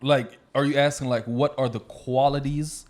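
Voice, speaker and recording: A man's voice: mid-range voice, fairly even intonation, normal speed, darkish tone. An American man co-hosting a casual music discussion, explaining his views and often checking that he is being followed. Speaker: podcast co-host.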